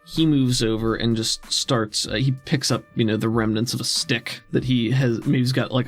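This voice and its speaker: A man's voice: medium pitch, vocal fry. A man improvising narration as the game master of a tabletop role-playing game.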